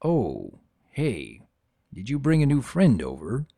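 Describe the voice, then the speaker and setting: A man's voice: mid-range voice, wildly swooping pitch, slow, gravelly, warm tone, dry recording, clean studio sound, exaggerated voice. A man playing an easygoing, avuncular adult dragon who tells his own story with wry, conversational asides. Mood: friendly, amused. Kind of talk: first-person dramatic reading of fan fiction.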